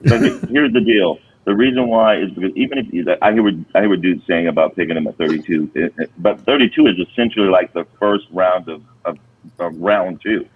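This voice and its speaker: A man's voice: low voice, a little fast, husky, narrow, tinny audio. A man debating football casually as a panelist on a sports show.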